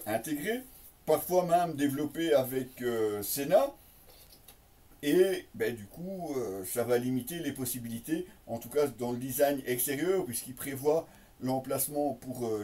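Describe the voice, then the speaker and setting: Picked up a little way from the microphone, a man presents gear in an unscripted way. His voice is mellow and medium in pitch. He builds his sentences bit by bit, often stretching out a hesitation before the next phrase. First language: French. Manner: hesitant, piecemeal phrasing with frequent drawn-out euhs. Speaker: motorcycle gear reviewer. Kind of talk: unboxing presentation, casual unscripted commentary. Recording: slightly distant microphone.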